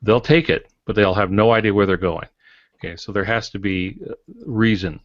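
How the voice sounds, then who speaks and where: A man's voice: on the low side, fairly even intonation, normal speed, no echo. An older man talking casually on a podcast as a co-host, giving writing advice in a folksy way with homespun metaphors. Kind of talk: casual advisory podcast talk. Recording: dull, lo-fi, dry podcast audio.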